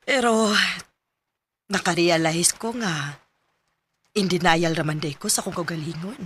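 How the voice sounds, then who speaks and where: A woman's voice: fairly low pitch, unhurried, a bit bright, a little hiss or hum. A Filipino woman with a Cebuano accent acting a tender young sweetheart who has come home, in a Bisaya radio drama.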